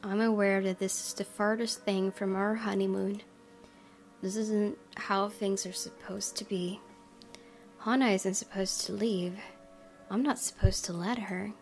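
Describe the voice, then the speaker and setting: A woman game streamer reading a visual novel aloud as expressive narration. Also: medium pitch, a little slow, faint music behind.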